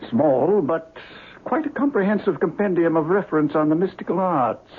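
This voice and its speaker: An actor playing a pedantic, erudite parapsychology doctor with ponderous, theatrical diction in a 1970s radio drama. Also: male voice, on the high side, animated, normal speed, lightly acted. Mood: musing, fascinated. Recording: muffled, hissy 1970s radio broadcast.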